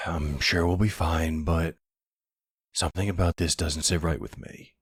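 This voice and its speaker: Man playing a very deep-voiced, nervous boyfriend. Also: fairly even intonation, takes it slowly, breathy edge, dry recording, studio quality, exaggerated voice. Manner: hesitant, gently teasing. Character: nervous, affectionate young boyfriend of a werewolf. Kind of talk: intimate audio roleplay acting.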